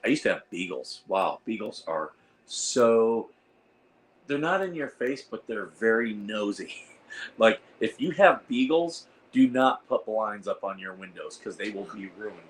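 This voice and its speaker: A hoarse man hosting a casual livestream, rambling with lots of fillers and reading out the chat. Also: fairly low pitch, lively intonation, measured pace, a little hiss or hum, poor audio. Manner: rambling, reads chat aloud, frequent fillers. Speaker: host of a veterans livestream. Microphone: iPad.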